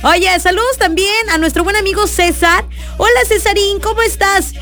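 High-voiced hoarse Mexican radio DJ woman. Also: moderate pace, fairly bright tone, faint music behind. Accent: Mexican Spanish. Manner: chatty, laughing, greeting listeners. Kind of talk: lively radio show chat. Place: radio studio booth.

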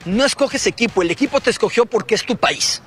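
A man giving measured, analytical football commentary on a TV panel. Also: high-pitched, lively intonation, a bit bright, breathy edge. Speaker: sports analyst. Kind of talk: panel discussion.

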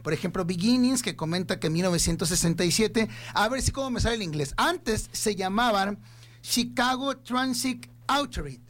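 A man's voice: high-pitched, lively intonation, normal speed, fairly bright tone. A man with a Mexican accent hosting a radio music show, playful and rambling, laughing often between songs. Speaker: radio host. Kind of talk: casual radio banter.

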